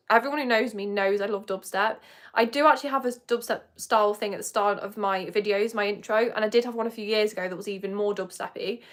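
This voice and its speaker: A woman's voice: fairly high pitch, fairly bright tone. A young woman hosting a livestream, chatting casually with viewers in a rambling, giggly way. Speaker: beauty livestream host. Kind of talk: casual chat with live viewers. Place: home, indoors. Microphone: phone or webcam.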